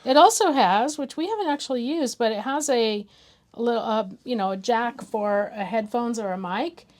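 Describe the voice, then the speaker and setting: A woman with an American accent and a fairly high voice talks casually as a review co-host. She is chatty and explains practical details in an everyday conversational style. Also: moderate pace, a little mellow.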